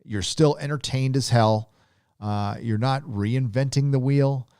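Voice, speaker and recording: A man's voice: mid-range voice, measured pace, a little mellow, smooth, no room sound. Laid-back Southern Californian man, dry sound. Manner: rambling, laid-back drawl with many fillers. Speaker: live stream host. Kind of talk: casual live chat reading donations.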